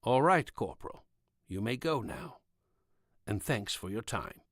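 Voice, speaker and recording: A man voicing a gentle, puzzled military doctor who questions a patient in a measured way, in an audiobook. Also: mid-range voice, big pitch swings, no room sound, studio quality. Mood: politely dismissing. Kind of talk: audiobook character dialogue.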